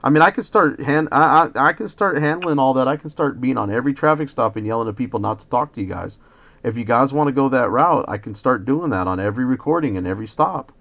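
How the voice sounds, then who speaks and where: A man's voice: mid-range voice, lively intonation, fast talker, a bit bright. An American man, a citizen journalist on a phone call, arguing in an indignant, lecturing manner as he confronts the police. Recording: dull lo-fi phone call recording.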